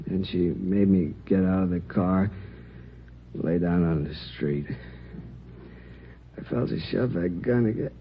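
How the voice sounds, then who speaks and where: Gravelly, deep-voiced wounded crime victim, male. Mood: shaken, defensive. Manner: halting, colloquial, slangy. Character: wounded married man, shot robbery victim, sheepish and plain-spoken. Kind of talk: 1950s radio drama acting. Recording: muffled, noisy old radio recording.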